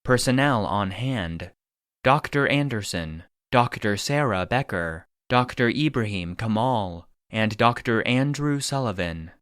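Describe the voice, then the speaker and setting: A man voicing a database narrator, reading secret records in a formal, clinical, deadpan manner. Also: lowish voice, a little slow, clear, resonant tone, no echo, clean studio sound. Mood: impassive.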